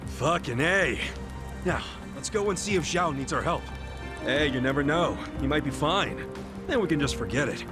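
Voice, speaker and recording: An actor playing a big-hearted, stubbornly optimistic ex-convict hero in a video game, with brash, slangy, earnest delivery. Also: male voice, on the high side, animated, moderate pace, music in the background, close to an everyday voice. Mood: upbeat. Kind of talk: dramatic video game voice acting.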